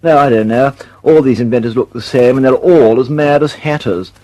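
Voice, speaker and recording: A man's voice: medium pitch, moderate pace, warm tone, lightly acted, rough recording. An actor playing a flustered, condescending male government official with an upper-class English accent in a radio drama. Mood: breezy, jovial. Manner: dithering, breezy, condescending. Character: flustered, dismissive, chummy upper-class male British bureaucrat.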